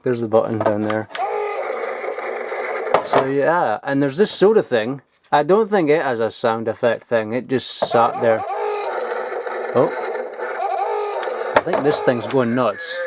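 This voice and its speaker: A man's voice: medium pitch, expressive, takes it slowly, pauses often, very noisy. A young man giving a casual, sweary, enthusiastic show-and-tell in an informal vlogging style. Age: young adult. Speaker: vlogger showing old toys. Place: loft at home, indoors.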